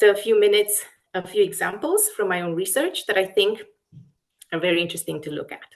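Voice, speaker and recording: A woman researcher from Romania with a Romanian accent, explaining her work in a webinar talk in a deliberate way, often pausing mid-phrase. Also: medium pitch. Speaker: academic researcher, webinar lecturer.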